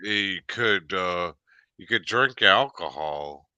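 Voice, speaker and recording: Slow-talking rambling older man. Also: sits low, lively intonation, pushed and bright, clear, resonant tone, dry recording, highs cut off. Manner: rambling, halting, many restarts and fillers. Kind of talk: casual chat.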